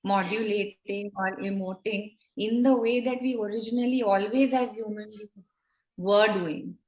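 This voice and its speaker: A woman explaining as a communication coach in a measured, conversational way. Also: medium pitch, a little hiss or hum. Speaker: communication coach, podcast guest. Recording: roomy, echoing room sound.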